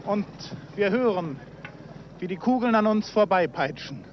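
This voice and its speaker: Slow high-voiced man, noisy old radio report. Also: expressive. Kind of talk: 1950s live on-the-spot radio reporting. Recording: noisy dull 1953 outdoor radio recording.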